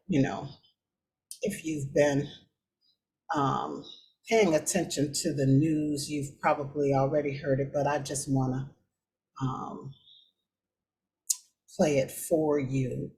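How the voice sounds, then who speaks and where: A woman hosting a talk show with casual commentary, often halting as she thinks aloud. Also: sits low, low-quality recording. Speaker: show host. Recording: clear background noise.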